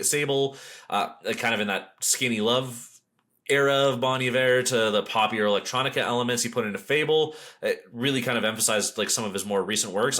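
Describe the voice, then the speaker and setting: A man's voice: mid-range voice, fairly bright tone. A man hosting a podcast, giving a casual, conversational album review. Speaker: podcast host.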